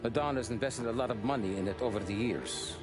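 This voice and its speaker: An actor playing a calm, businesslike mob adviser laying out a job in a video game cutscene. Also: male voice, medium pitch, natural voice. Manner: measured, businesslike. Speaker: mob consigliere. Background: music score.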